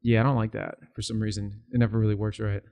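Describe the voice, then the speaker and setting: A man's voice: on the low side, flat delivery, fast talker, vocal fry, darkish tone. A young man co-hosting a podcast, chatting in an easygoing, rambling way with some restarts. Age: young adult. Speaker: podcast co-host. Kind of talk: casual chat.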